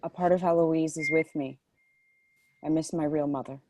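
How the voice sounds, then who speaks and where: A woman's voice: sits low, fairly even intonation, moderate pace, darkish tone, slight background noise, lightly acted. A woman reading the part of a troubled, bitter pregnant woman in a dramatic script table read. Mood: bitter, resolute.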